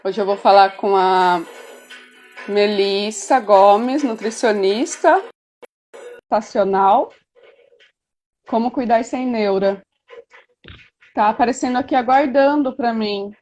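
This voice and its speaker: A woman's voice: mid-range voice, takes it slowly, long pauses, darkish tone. A Brazilian woman hosting a live video-call interview as a doula, chatty with frequent tag questions. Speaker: doula and host.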